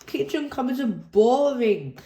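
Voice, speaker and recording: A woman voicing a bossy, cheeky little girl piglet in a storybook, with a bright, chirpy delivery. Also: high voice, animated, a little slow, pitched above the performer's own voice. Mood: grumpy. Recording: echoey room.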